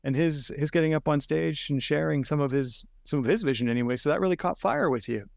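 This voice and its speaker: A man's voice: a little high, fast talker, vocal fry, dry recording, clean studio sound. A man co-hosting a podcast, talking casually over a video call in rambling sentences with many restarts. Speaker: podcast co-host.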